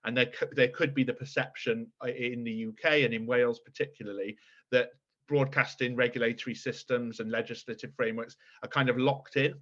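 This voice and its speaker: A man's voice: medium pitch, steady tone, a little fast, fairly bright tone. A man guiding a panel discussion at a report launch, speaking conversationally and linking topics before handing over. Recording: dull lo-fi sound.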